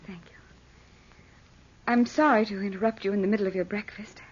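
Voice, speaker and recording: An actress playing an earnest, anguished young fiancée in 1940s radio melodrama style, hesitant and tremulous. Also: female voice, mid-range voice, steady tone, a little fast, breathy edge, natural voice. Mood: nervous. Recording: muffled old radio drama recording.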